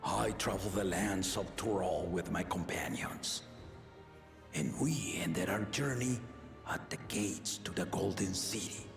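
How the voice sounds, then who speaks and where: An actor playing an aging, benevolent king in a video game, speaking in a measured, regal, solemn manner. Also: low-pitched, vocal fry. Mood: solemn, commanding. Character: aging benevolent king and father, stately, fond of contests. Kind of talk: scripted video game voice acting. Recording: echoing hall reverb under game music.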